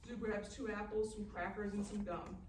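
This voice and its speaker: A woman's voice: mid-range voice, level tone, normal speed. A woman reading stage directions as scripted narration at a live table read. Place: live festival event room. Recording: echoey, distant, noisy live room.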